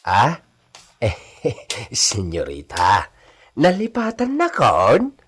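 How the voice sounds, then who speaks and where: A man's voice: medium pitch, huge pitch swings, extremely slow, fairly bright tone, breathy edge, exaggerated voice. A man with a Filipino accent playing a timid old villa servant in a comic radio drama, stammering hesitantly. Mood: flustered, sheepish.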